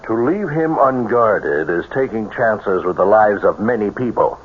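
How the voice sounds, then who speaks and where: An actor playing a stern, skeptical army colonel in a radio drama, deliberate and formal. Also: male voice, lowish voice, animated. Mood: skeptical warning. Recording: muffled, hissy old radio broadcast.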